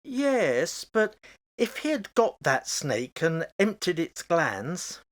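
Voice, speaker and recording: Man playing a genial, animated, hesitant father. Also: a little high, takes it slowly. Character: genial, curious father, a homely host. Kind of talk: amateur radio play acting.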